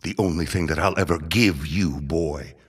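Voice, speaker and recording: An actor playing a menacing, scheming old reverend in an audio drama, husky and pompous in his deliberate delivery. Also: rumbling low. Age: older. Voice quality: husky, raspy.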